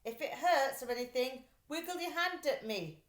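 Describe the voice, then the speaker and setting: A woman voicing a caring, eager young boy in a children's storybook, earnest and expressive. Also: high-pitched, normal speed, pitched above the performer's own voice. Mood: reassuring. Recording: roomy, echoey sound.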